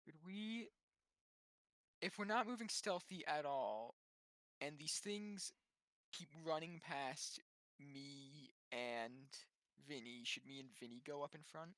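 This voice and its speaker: A man's voice: on the high side, slow pace, a bit bright, no echo. A man chatting casually as a player in a tabletop game. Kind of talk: casual chat.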